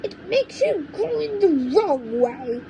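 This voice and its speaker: Storybook granny, played by a woman: tiny, old, bossy, snappish, high-pitched and slow, emphatic scolding. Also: sing-song, heavily acted. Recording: noisy low-quality home microphone recording.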